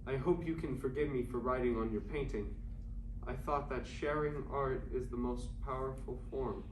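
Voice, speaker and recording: A lovesick writer of the 1920s, acted by a man, speaks his love letters with earnest, poetic longing at a middle pitch. It is a staged play reading, caught from far off in an echoing room with clear background noise. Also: moderate pace, natural voice. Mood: yearning. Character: lovesick male writer in 1920s Paris, yearning and fearful. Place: indoor performing arts academy, mic far off. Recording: distant, echoey, noisy live stage recording.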